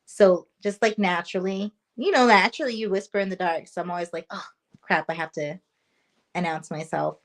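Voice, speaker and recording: A woman's voice: fairly low pitch, a little fast. A woman guest in a casual interview, a paranormal investigator who chats loosely, laughs often and says "like" a lot. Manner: chatty, laughing, lots of "like".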